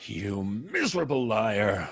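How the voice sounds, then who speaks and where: An actor playing a vengeful, gloating ex-convict in an old-time radio drama, with theatrical menace and florid, drawn-out diction. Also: male voice, on the low side, very animated, vocal fry, husky, exaggerated voice.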